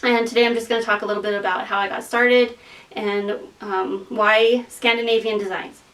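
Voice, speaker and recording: Relaxed American woman, a bit off-mic. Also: fairly high pitch, normal speed, distant mic, rough recording. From northeastern Montana, US. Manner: relaxed, hesitant, many ums. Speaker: artist and shop owner. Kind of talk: casual vlog talk.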